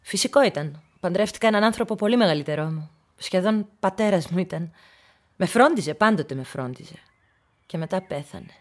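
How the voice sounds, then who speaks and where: Childlike unstable widow in a radio drama, middle-aged, agitated n pleading, voice swings all over. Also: female voice, lively intonation. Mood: timid, wistful. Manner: agitated, pleading, swinging between shrill protest and conspiratorial whispers. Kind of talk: radio play acting.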